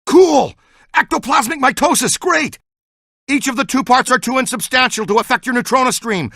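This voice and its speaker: An actor playing an excitable, geeky male scientist who investigates the paranormal in a video game, earnest and full of technical jargon. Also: extremely high pitch, normal speed, dry recording, studio quality, full character voice. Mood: excited, awed. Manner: earnest, technical jargon, swings into boyish enthusiasm. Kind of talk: scripted game dialogue.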